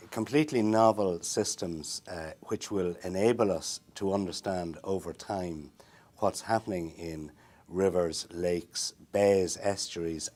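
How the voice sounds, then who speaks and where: A man's voice: deep voice, darkish tone. A man, explaining ideas in long, thoughtful sentences during an interview. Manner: thoughtful, many fillers and restarts, long building sentences. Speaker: marine research interviewee. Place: small indoor interview room.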